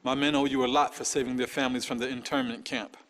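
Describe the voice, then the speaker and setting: Game cutscene role: rebel chief, male actor, middle register, earnest, urging and hurried. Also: medium pitch, flat delivery, a bit bright, lightly acted. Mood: earnest, persuasive. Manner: hurried, persuasive. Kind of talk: scripted video game cutscene acting.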